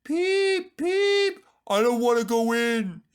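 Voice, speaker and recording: A man voicing a timid, fretful male steam engine in a children's storybook, in a high, strained, wailing voice. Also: big pitch swings, slow pace, clear, resonant tone, full character voice. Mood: fearful, reluctant. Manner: stammering, wailing when frightened.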